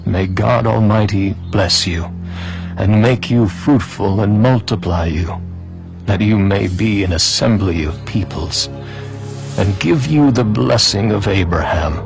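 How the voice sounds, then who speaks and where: A man playing an old Hebrew patriarch father in a scripture drama, grave, measured and solemn. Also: fairly low pitch, gravelly, music in the background. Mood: solemn blessing.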